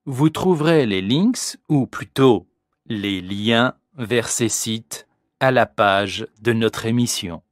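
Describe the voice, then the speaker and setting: A woman interviewing a guest for a language lesson, asking questions with clear articulation. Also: extremely low pitch, slow pace, no echo, clean studio sound. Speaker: radio-style interviewer. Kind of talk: scripted educational interview.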